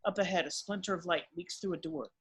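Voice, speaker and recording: A man's voice: sits high, fast, a bit bright, slightly rough. A man reading screenplay stage directions as narrator in an amateur table read.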